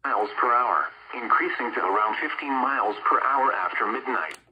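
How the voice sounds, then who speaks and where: A man's voice: mid-range voice, moderate pace, lo-fi sound. A man's voice reading a formal scripted weather forecast, heard through a small radio speaker.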